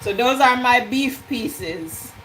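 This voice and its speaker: A playful woman chats slowly and teasingly while she cooks at home, her voice sitting a little high. Faint kitchen ambience hums behind her, and she sounds picked up by a device set near the stove. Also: lively intonation, fairly bright tone, slight background noise, rough recording. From Jamaican heritage. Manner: playful, teasing, joking with chat. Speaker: cooking livestream host. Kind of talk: casual live cooking chat, reading out viewer comments.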